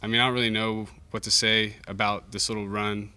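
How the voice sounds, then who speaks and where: A young man, a college baseball pitcher, giving modest, understated answers at a post-game press conference. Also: level tone, brisk, bright, forceful tone, smooth. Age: young adult. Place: indoor press conference room. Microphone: table microphone.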